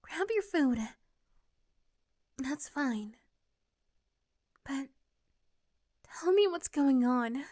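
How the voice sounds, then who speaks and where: A woman's voice: sits high, lively intonation, drawn-out words, pauses often, darkish tone, no echo, clean studio sound, voice pushed up, heavily acted. A woman playing a bossy but caring young tsundere friend in an ASMR roleplay, insistent, fussing and tender. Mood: concerned, coaxing.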